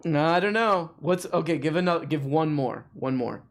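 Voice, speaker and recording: A man's voice: fairly high pitch, lively intonation, normal speed. A man hosting a live call-in stream, chatting casually with callers and joking with mock outrage. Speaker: live show host taking callers.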